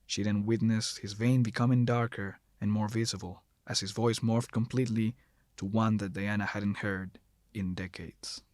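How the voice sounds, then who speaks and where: A man's voice: fairly low pitch, steady tone, normal speed, darkish tone, dry recording. A man narrating a scripted horror story as the narrator, recorded close to the mic.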